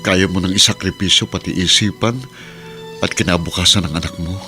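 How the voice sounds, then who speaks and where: A man's voice: low-pitched, a little fast, sharp tone, soft background music. An actor playing an anguished, devoted lover and father in a radio drama, speaking earnestly and emotionally. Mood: reproachful, hurt. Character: anguished man in love, fiercely devoted new-found father. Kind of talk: Tagalog radio drama acting.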